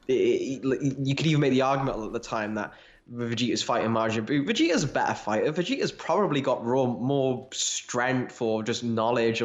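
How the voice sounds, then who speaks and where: A man with a mid-pitched voice talks casually as a podcast co-host. He is opinionated and emphatic, dropping swear words as he argues his points. The sound is roomy and echoing, with a slightly dull, lo-fi quality. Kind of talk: casual fan discussion.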